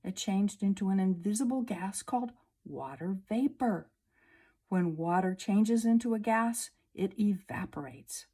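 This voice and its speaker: A woman teacher reading a children's science book aloud, slowly and clearly, at a table indoors. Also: medium pitch, animated, mellow. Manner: slow, clear storybook reading. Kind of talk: read-aloud lesson for children.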